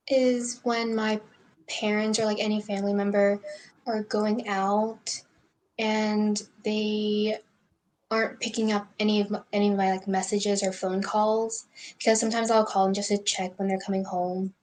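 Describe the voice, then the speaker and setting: A seventeen-year-old girl chatting casually as a podcast guest, with halting, hesitant phrasing. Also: mid-range voice, level tone, a little mellow, low-quality recording. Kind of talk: casual personal chat.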